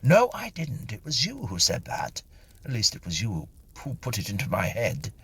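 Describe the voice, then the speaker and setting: An indignant gentleman ghost, played by a male reader, grumbles petulantly through a storybook tale. The voice is husky and bright, leaping about in pitch as he protests in refined, exasperated phrases. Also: wildly swooping pitch, normal speed, heavily acted. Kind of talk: dramatised audiobook storytelling.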